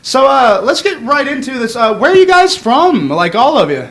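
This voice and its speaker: A man's voice: very high-pitched, sing-song, normal speed, a bit bright. A young man hosting a local talk show from a small bar, cracking crude jokes. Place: small bar venue, indoors.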